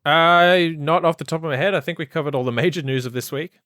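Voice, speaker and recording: A man's voice: on the high side, quick pace, dry recording. Man with a muted Australian accent. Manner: relaxed, easygoing, laughs a lot. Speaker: podcast guest, tech journalist. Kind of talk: casual interview chat.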